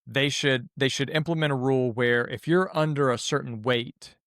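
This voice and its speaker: Smooth-voiced man with a Southern accent. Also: medium pitch, fairly even intonation, normal speed, a bit bright, no echo, studio quality. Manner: easygoing, agreeable, frequent yeahs. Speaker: podcast co-host. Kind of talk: casual chat.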